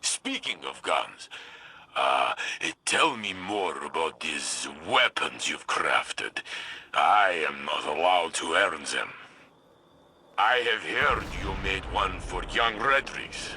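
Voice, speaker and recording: Very deep, bright-edged veteran warrior. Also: male voice, sing-song, slow pace, slight background noise, exaggerated voice. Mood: curious. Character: gruff, teasing, proud veteran male warrior, jovially blunt. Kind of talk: video game cutscene dialogue.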